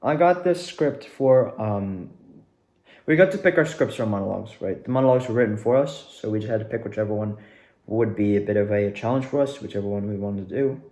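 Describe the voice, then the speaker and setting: A young man telling a casual personal story in a rambling, animated way, repeating words for emphasis. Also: on the low side, a little fast. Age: young adult. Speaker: student actor recounting past performances. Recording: echoey, reverberant room.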